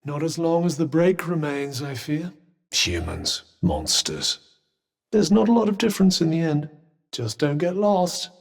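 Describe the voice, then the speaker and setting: A man's voice: on the high side, wildly swooping pitch, measured pace, vocal fry, exaggerated voice. A man with a British accent voicing a haughty, erudite magical cuff in a fantasy video game, with polished diction and dry sarcasm. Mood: dry, needling. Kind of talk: scripted game companion dialogue.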